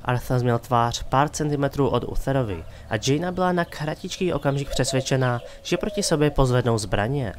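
A man's voice: mid-range voice, lively intonation, hardly any pauses, background noise. A man hosting and narrating a scripted, dramatic fantasy story. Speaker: narrator and host. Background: faint background music.

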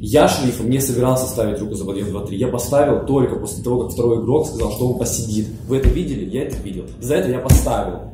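A man's voice: medium pitch, fast talker, few pauses. A man in his thirties arguing his case as a player at a mafia game table, insistent and explaining step by step. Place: room with a round table, indoors. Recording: echoey room with hiss.

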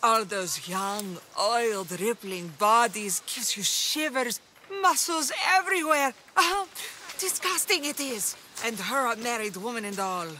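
A woman's voice: a little high, expressive, unhurried, pushed and bright, slight background noise. A woman voicing a gossipy middle-aged game character, scandalised yet lusty, relishing her tale. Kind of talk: video game cutscene acting.

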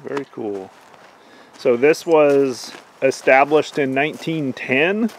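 A man's voice: medium pitch, slow, rough recording. A man vlogging outdoors on a camping trip, chatting casually in a wry, mock-serious way into a camera microphone. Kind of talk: casual joking chat. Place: outdoors in the woods at a campsite. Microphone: camera microphone, a little away. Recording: outdoor camcorder audio with background noise.

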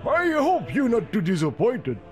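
A man's voice: high-pitched, huge pitch swings, faint music behind. An actor voicing a stern, suspicious male game character with a put-on Russian accent. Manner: slow, interrogating.

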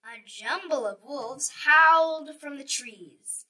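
A woman's voice: high voice, takes it slowly, pushed and bright, far from the mic, rough recording. A woman reading a children's picture book aloud expressively, with dramatic storytelling emphasis.

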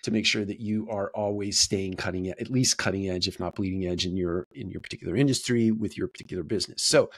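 A man talks to camera as a host, explaining in a reflective, deliberate way with repetition for emphasis. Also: deep voice, husky. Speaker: host of a business video series. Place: small home office, indoors.

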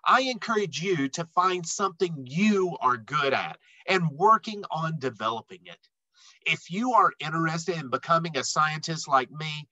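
Animated man reading a children's picture book. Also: fairly high pitch, dry recording, poor audio. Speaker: read-aloud storyteller. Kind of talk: expressive children's picture book read-aloud.

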